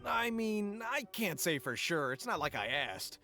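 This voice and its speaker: Timid, higher-voiced male merchant. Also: sing-song, a little slow, pushed and bright, soft background music, heavily acted. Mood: unsure, flustered. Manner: anxious, cautioning. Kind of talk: video game voice acting.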